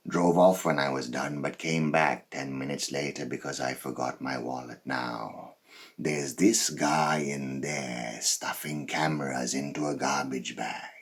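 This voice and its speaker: Man acting a very deep, cold killer. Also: slightly rough, heavily acted. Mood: cold, unrepentant. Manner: menacing, deliberate, with dramatic pauses and swells. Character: cold, boastful male psychopath serial killer telling his story. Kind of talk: dramatic stage monologue.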